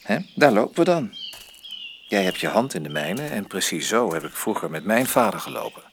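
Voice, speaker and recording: A man's voice: fairly low pitch, moderate pace, slight background noise. An actor playing a pompous, talkative father in a radio drama. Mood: playful. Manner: pompous, lively.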